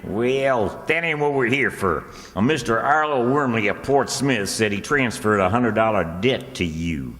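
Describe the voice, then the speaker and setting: A man's voice: mid-range voice, lively intonation, unhurried, hardly any pauses, fairly bright tone. Bossy rural Southern bill collector, male actor, easily flustered, swooping intonation, old-time radio comedy in a reverberant hall. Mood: insistent. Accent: rural Southern American. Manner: folksy, insistent. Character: Lum, rustic small-town man, bossy bill collector, easily flustered. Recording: reverberant hall stage recording.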